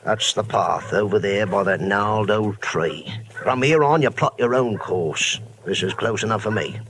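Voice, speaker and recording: A man's voice: fairly low pitch, normal speed, a bit bright. A man playing a folksy old seafaring local guide who talks colloquially and spins yarns in an old radio drama. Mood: wary. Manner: folksy, colloquial, yarn-spinning. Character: folksy old salt, male local guide and cart driver. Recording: noisy old radio recording.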